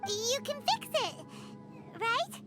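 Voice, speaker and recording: Super squeaky little game fairy sidekick, cheeky n chatty, swoops all over, music behind. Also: female voice, very animated, slow, exaggerated voice. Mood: curious, cheeky. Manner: exclamatory, speaks of herself in third person. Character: small excitable childlike female fairy companion, cheeky and chatty. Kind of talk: video game character acting.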